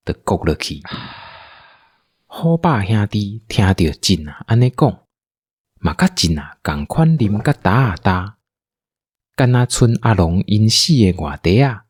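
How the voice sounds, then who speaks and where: Male drama narrator, Taiwanese accent, low and unhurried storytelling with lively swings. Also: slightly rough, dry recording, studio quality.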